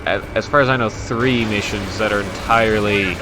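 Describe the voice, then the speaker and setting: A man's voice: lowish voice, steady tone, normal speed. A man doing casual, rambling gameplay commentary with informal, grumbling asides. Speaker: gamer commentating on own gameplay. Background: flight combat game sounds, explosions and music.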